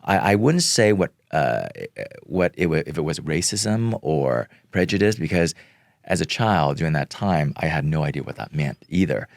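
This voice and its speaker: A middle-aged man from Vietnam whose first language is Vietnamese, talking slowly and earnestly as an interview guest, pausing often with fillers. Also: very deep voice, lively intonation, creaky, no room sound, clean studio sound. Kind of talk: reflective interview talk.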